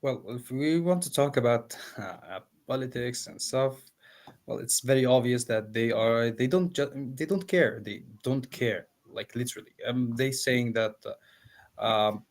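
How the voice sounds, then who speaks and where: Earnest man from Palestine, halting. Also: medium pitch. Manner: earnest, hesitant, frequent fillers. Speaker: guest. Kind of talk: casual interview.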